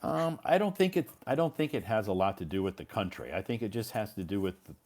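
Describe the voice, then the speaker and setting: A low-voiced American man in the role of English teacher. He gives conversational advice in an encouraging way, repeating key points for emphasis. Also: lively intonation, quick pace, a little mellow.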